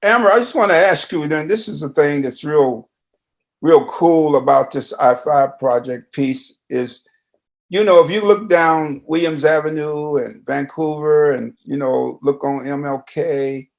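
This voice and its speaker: Older guy chatting casually, voice a bit high and full of fry, wanders and asks questions. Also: measured pace. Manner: rambling, checks in with questions. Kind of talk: casual conversation.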